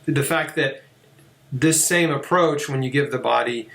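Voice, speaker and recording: A man hosting an interview, chatty and relaxed, with many fillers. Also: mid-range voice, unhurried, creaky. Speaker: podcast host. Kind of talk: conversational interview. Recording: echoey room.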